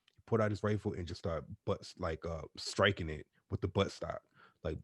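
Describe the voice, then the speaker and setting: Deep, husky man telling a story. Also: moderate pace, no room sound, clean studio sound. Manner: animated, profane, repeats words while telling a story. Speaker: podcast co-host. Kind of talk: casual storytelling among friends.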